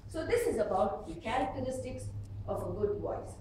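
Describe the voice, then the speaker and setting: A middle-aged woman with an Indian accent giving an instructional speech to an audience, expressive and emphatic, with dramatic pauses. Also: a little high. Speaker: public speaking trainer at a speakers club. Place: echoey meeting room with an audience. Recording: echoey hall from far off, noisy.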